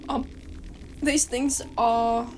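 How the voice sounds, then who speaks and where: A woman's voice: fairly high pitch, steady tone, very slow, slight background noise, low-quality recording. A woman with a cold and a stuffy nose, rambling casually through a game guide, swearing and restarting her sentences. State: congested from a cold. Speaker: gaming guide host.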